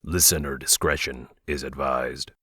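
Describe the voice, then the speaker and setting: A man's voice: a bass voice, fairly even intonation, unhurried, bright, forceful tone, husky, dry recording. A man reading a scripted disclaimer as an announcer.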